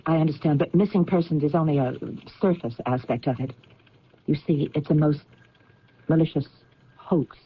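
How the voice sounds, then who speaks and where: A woman's voice: low voice, normal speed, slightly rough, lo-fi sound. A woman acting in an old-time radio drama as an insistent wife convinced that her husband is alive, earnest and emphatic under strain.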